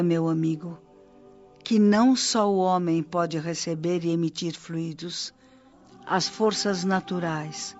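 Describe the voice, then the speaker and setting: A woman playing a kindly, wise old spirit nurse in a radio drama, with a Brazilian accent and a gentle, instructive delivery. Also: on the low side. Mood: gently instructive. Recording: dull lo-fi audio with music bed. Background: background music.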